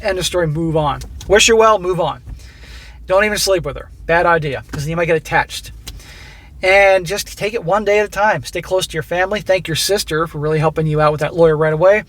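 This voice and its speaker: A middle-aged host with a high-pitched voice reads a listener's story and breaks in with blunt, profane advice. His voice is going hoarse. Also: brisk, a bit bright. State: losing his voice. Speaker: host reading listener stories. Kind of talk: reading a submitted story aloud with commentary.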